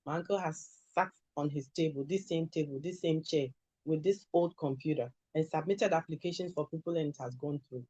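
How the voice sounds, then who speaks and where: Ghanaian woman, calm measured lawyer. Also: deep voice, no echo. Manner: measured, advisory, clear. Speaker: immigration lawyer guest. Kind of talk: interview answers. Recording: dull lo-fi sound.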